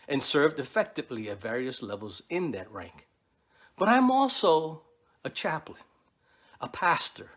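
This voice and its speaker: A man's voice: a little high, a bit bright. A middle-aged man from New York City, a Navy chaplain, delivering a prepared speech in an earnest, preacherly way with rhythmic lists. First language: Spanish. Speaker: keynote speaker, Navy chaplain.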